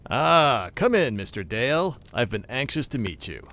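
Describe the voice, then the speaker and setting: An actor playing a scheming, pompous crime boss with deliberate menace in an audio drama. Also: male voice, mid-range voice, very animated, pushed and bright, a little hiss or hum. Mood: persuasive. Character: smooth, scheming male criminal mastermind. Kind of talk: audio drama villain acting.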